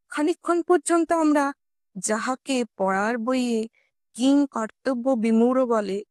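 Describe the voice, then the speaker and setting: A woman narrating a scripted story expressively, in a measured storytelling manner with formal literary diction. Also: on the high side, vocal fry, a little mellow, no room sound. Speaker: audiobook narrator.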